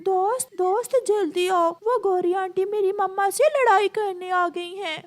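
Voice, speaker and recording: A woman's voice: extremely high pitch, steady tone, a little slow. A woman voicing a small young bird child in a cartoon, whiny, earnest and pleading. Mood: earnest, urgent. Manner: whiny, repeats words pleadingly.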